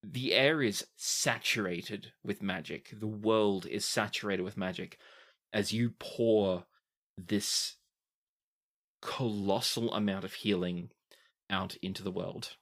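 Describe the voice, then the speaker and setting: A man running a tabletop game as game master, describing scenes in a deliberate, dramatic way. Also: on the low side, fairly bright tone.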